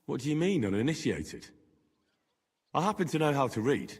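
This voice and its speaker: An actor playing a plain-spoken, eager young medieval man-at-arms in a video game, husky, earnest and gallant. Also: mid-range voice, big pitch swings, normal speed, in a large hall. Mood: mildly affronted. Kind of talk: scripted game dialogue.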